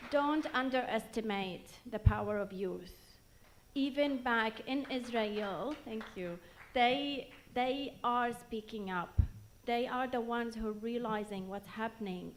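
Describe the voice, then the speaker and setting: A woman's voice: fairly high pitch, a little slow, a little hiss or hum. A woman from Jerusalem giving an earnest, rousing speech at a rally in short phrases. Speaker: rally speaker.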